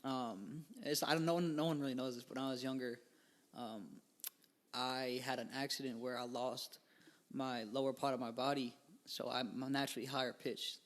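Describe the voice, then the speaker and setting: A young man in his twenties sits in as a podcast guest and talks loosely, stringing thoughts together with fillers and slang. His voice sits at an ordinary middle pitch. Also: steady tone, normal speed, a bit bright. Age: 20s. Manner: rambling, filler-heavy, laid-back slang. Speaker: guest musician. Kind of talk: casual podcast interview.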